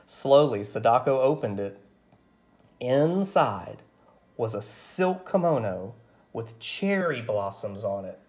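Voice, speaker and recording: A man's voice: medium pitch, animated, slow, darkish tone. Man reading a storybook in an echoey room. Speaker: storyteller reading a picture book aloud. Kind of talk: scripted story narration, read aloud.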